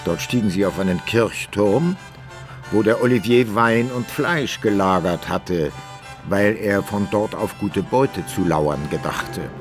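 Narrator-author for period radio drama, male actor; low register, literary, emphatic storytelling over soft music. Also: a little slow, lightly acted. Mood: wry, storytelling. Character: old-time male author narrating his hero's adventures.

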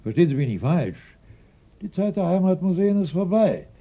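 An actor playing a gruff, curt, nostalgic older retired taxidermist in a German radio drama, dry in manner. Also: male voice, high-pitched, sing-song, normal speed, muffled recording, full character voice. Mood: wistful.

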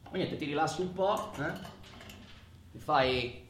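Restless, higher-voiced prisoner, echoey. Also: male voice, background noise. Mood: animated, eager. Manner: colloquial, halting, repeats himself, talks as if to a listener. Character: imprisoned adult man, restless, wistful, obsessive, foul-mouthed. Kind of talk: theatrical dramatic monologue in radio theatre.

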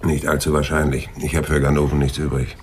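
An actor playing a terse, world-weary private detective with a gravelly voice and a dry, blunt manner in a radio crime drama. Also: male voice, rumbling low, level tone, a little fast, background noise. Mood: dry, wary. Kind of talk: radio crime drama acting and first-person narration.

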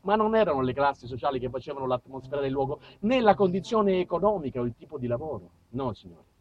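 A man with a southern Italian accent performing an expressive, theatrical comic monologue as a storyteller. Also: medium pitch, fast, slight background noise. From southern Italy. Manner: expressive, acts out dialogue, shifts between hushed and shouted. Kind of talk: theatrical comic monologue about small-town life. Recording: dull, distant sound with music.

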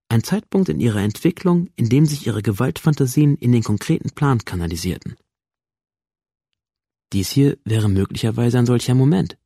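A man's voice: medium pitch, gravelly, warm tone, no echo. An analytical male doctor in a radio drama, questioning a patient with formal, insistent precision. The sound is close and dry, as if in a studio. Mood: probing, assured.

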